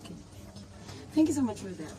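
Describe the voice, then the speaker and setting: A woman's voice: mid-range voice, big pitch swings, a little fast, over music, poor audio. A woman interviewer reading questions and thanking the guest politely.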